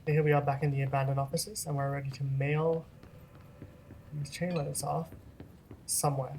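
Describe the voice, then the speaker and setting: Relaxed, rambling let's-play host. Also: medium pitch, fairly quick, gravelly, slight background noise, rough recording. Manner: relaxed, rambling, frequent ums and laughs. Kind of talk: casual gameplay commentary.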